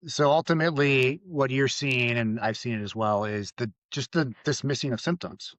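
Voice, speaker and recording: A man's voice: mid-range voice, normal speed, a bit bright, dry recording. A man hosting a podcast interview, casual and affirming, asking his guest leading questions. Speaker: podcast host.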